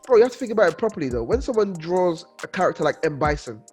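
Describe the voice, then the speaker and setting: High pitched guy chatting away, really emphatic, says things twice, music in background. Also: very fast. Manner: animated, emphatic, repeats himself. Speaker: podcast panel member. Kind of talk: casual chat.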